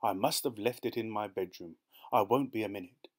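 A man's voice: on the low side, close to an everyday voice. Man acting a pompous, controlling husband. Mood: irritated, commanding. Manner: deliberate, commanding. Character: pompous, dawdling, controlling well-to-do older husband. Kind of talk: audiobook character voice.

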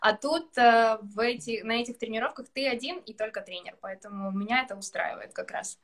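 A woman in her twenties chatting casually on a video call from a room at home, laughing often and talking with animated gestures. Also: a little high, a little fast. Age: 20s. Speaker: guest singer. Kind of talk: casual live-stream chat. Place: indoor room with curtains.

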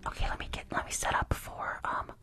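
A woman's voice: a little fast, over loud music. A young woman in her twenties whispers breathily and affectionately to live stream viewers, close to the microphone, often repeating words in an ASMR style. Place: small room at home, indoors. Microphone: close furry-windscreen microphone.

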